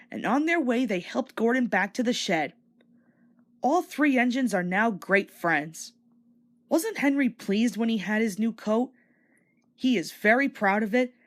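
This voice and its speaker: A woman reading a children's story aloud as an expressive, scripted read-along narrator. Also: on the high side.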